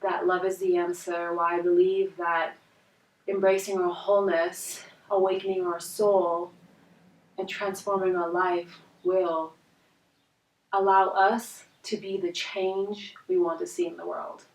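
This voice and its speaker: A woman in her twenties from India, a native Hindi speaker, telling her life story as a life coach in an earnest, reflective way with soft pauses. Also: fairly low pitch, flat delivery. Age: 20s. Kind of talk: personal storytelling vlog. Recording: roomy echo, voice from a distance.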